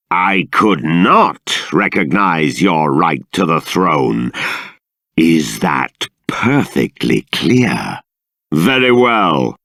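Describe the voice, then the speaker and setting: A man playing a dignified, stern but fair middle-aged king in a fantasy video game, speaking in a formal, measured, theatrical way. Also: lowish voice, no echo, clean studio sound, exaggerated voice. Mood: solemn, formal. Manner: regal, formal, measured, deliberate. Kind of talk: theatrical scripted game dialogue.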